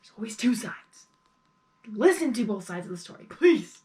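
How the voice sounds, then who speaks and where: A woman's voice: mid-range voice, big pitch swings, normal speed, warm tone. A woman giving casual live reaction commentary as host of a reaction video.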